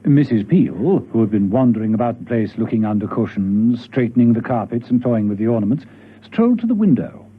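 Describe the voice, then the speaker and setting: Husky male narrator, thin radio sound. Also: medium pitch, lively intonation, a little fast. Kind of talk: scripted radio drama narration. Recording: thin phone-like old radio recording.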